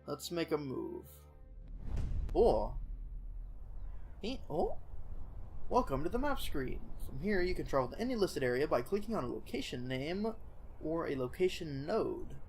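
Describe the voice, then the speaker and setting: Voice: mid-range voice, sing-song, normal speed, pauses often. A streamer with a nasal, stuffed-up voice, casually reading a game aloud on a live stream. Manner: casual, swears. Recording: music in the background.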